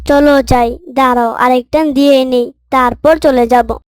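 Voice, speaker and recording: Woman voicing a high, hesitant little cartoon boy. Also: fairly even intonation, measured pace, clean tone, dry recording, clean studio sound. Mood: curious. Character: hesitant, easily tempted young boy. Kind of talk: children's moral cartoon dialogue.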